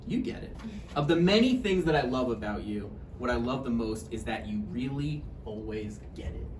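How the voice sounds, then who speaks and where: A woman's voice: very deep voice, lively intonation, normal speed, darkish tone. A woman playing a nervous, joking boyfriend in a stage play table read, conversational, hesitant and apologetic. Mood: playful. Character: Roger, nervous joking boyfriend, defensive and eager to please. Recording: roomy, distant mic, faint noise.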